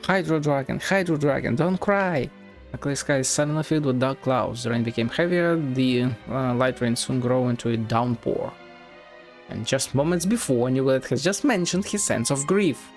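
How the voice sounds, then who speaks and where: A male game streamer with a mid-range voice talks casually as he plays. He thinks aloud in a sardonic, sweary way, with faint music behind him. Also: medium pitch. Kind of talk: casual play-by-play game commentary.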